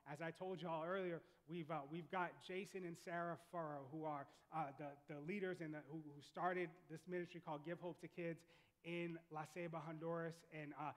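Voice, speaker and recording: A man's voice: on the high side, flat delivery, moderate pace, in a large hall. A man hosting an interview on a church stage, enthusiastic and repeating his words. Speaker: pastor interviewing a guest. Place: church sanctuary.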